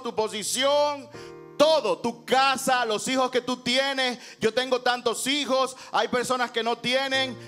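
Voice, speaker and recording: A man with a high-pitched voice preaches as a pastor. His delivery is emphatic and rhetorical, slipping into dramatized scripture dialogue, with instrumental music faintly behind him. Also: fairly bright tone. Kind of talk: sermon preached to a congregation.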